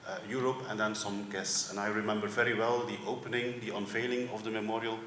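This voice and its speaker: A man from Flanders with a Flemish accent gives a semi-formal public speech as a guest, pausing often with ums. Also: on the low side, flat delivery, fairly bright tone. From Flanders, Belgium. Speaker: museum director, guest speaker. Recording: echoey hall with faint background noise.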